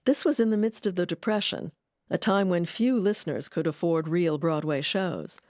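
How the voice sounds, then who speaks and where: A woman with a fairly low voice narrates a documentary from a script. Her delivery is wry, with personal asides, and the sound is dry and close. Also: moderate pace, darkish tone, dry recording.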